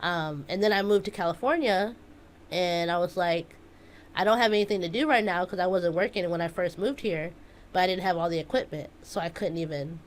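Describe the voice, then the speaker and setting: A woman in her twenties, a math teacher chatting casually as a guest, telling stories in an animated way. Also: fairly low pitch, fairly quick, slight background noise. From United States. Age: 20s. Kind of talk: casual interview chat.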